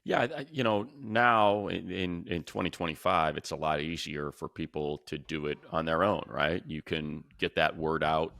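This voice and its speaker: A man's voice: very deep voice, moderate pace, gravelly, few pauses, fairly bright tone, dry recording, studio quality. An American man co-hosting a sports talk show, explaining things in a casual, conversational way. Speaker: sports talk show host and play-by-play announcer.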